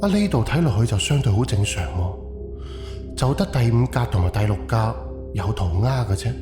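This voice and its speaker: A man's voice: medium pitch, lively intonation, normal speed, lightly acted. A man playing a wary, analytical haunted-house investigator thinking aloud in an audio drama, with a musing, questioning delivery. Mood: puzzled, wary. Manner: musing, questioning, muttered self-talk. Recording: echoey reverb, music underneath. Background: background music.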